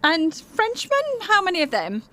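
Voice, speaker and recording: A woman's voice: very high voice, sing-song, moderate pace, sharp tone, exaggerated voice. An actress playing a bold, flirtatious, self-assured French woman cabaret singer in an audio drama. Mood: poised, probing. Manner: coquettish, self-assured, theatrical.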